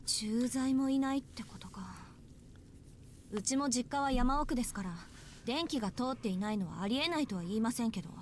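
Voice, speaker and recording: Visual novel role: sharp-tongued, exasperated woman played by an actress, fairly high and brisk. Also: slight background noise, lightly acted. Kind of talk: Japanese visual novel voice acting.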